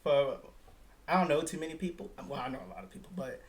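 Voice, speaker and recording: A man's voice: fairly high pitch, animated, fast talker. A man hosting a casual podcast, laid-back and joking with his guest. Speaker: podcast host. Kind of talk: casual chat.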